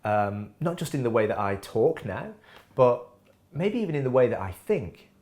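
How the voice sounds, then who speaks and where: Lively, musing man hosting. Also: medium pitch, moderate pace. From England. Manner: musing, questioning, breaks into laughs. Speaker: host. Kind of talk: scripted reflective talk to camera. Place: home kitchen.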